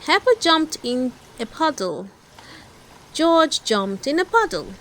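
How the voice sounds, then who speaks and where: A woman's voice: sits high, big pitch swings, slow pace, fairly bright tone. A woman reading a storybook aloud expressively for toddlers, speaking directly to young children as host. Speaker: storybook reader and channel host.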